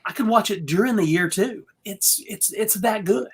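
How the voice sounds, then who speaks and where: A woman on a panel chatting casually about films, halting and often searching for words. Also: medium pitch, husky.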